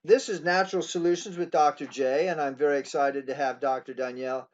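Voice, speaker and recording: A man in his sixties hosts a relaxed interview, his speech halting and full of small restarts. His voice sits rather high for a man. The recording sounds dull and lo-fi. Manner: halting, many fillers and restarts. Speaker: host. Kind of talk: casual interview.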